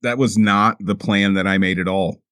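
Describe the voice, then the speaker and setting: A man playing a tabletop roleplaying game, chatting casually and often repeating his words as he thinks out loud. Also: fairly low pitch, steady tone, moderate pace, clean tone, no echo, studio quality. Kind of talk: casual table chat and in-character play.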